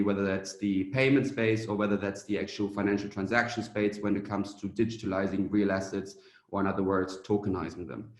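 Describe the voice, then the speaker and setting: A young man from Germany talks through a business presentation in a fairly low voice, often stopping on "um" as he gathers his thoughts. His voice carries the echo of a reflective room. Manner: hesitant, many "um" fillers. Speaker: startup founder and CEO. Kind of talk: conference presentation.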